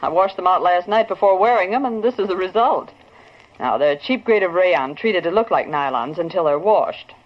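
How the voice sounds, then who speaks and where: An actress playing a no-nonsense but kind newspaper secretary in a 1940s radio drama. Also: female voice, fairly low pitch, expressive, moderate pace. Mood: patient, explaining. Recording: dull vintage radio recording.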